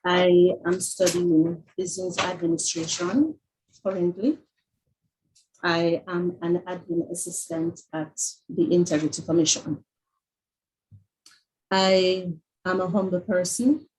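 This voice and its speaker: Low-voiced woman over a faint hiss. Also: takes it slowly, long pauses, a little mellow, rough recording. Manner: plain, brief self-introduction. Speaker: student.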